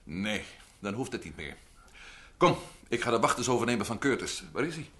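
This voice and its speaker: A man's voice: lowish voice, big pitch swings, brisk, sharp tone, slight background noise. An actor playing a worried man hunted by the police, speaking urgently in an old radio drama. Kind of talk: vintage Dutch radio drama acting.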